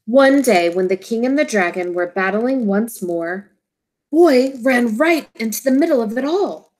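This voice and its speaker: Lady teacher doing storytime for little kids, takes it slow, very expressive up and down voice. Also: fairly high pitch, rough recording. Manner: slow, deliberate, simple phrasing for young children. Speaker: teacher reading aloud to children. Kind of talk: expressive children's storybook read-aloud.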